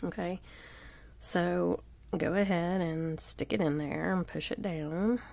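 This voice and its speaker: Congested woman with a gravelly voice. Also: lowish voice, fairly even intonation, slow, a little mellow. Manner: chatty, rambling, often drops to a murmur. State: congested with a cold. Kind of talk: casual live craft tutorial. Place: indoors at a craft table. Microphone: overhead camera on hands at a table.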